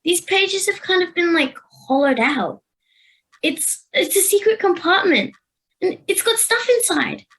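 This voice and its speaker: A woman's voice: extremely high pitch, animated, measured pace, a bit bright, exaggerated voice, rough recording. An Australian girl of about ten playing a curious, anxious modern schoolgirl in an amateur radio play, recorded at home. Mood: intrigued. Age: 10 to 11, child. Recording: home video-call recording with music.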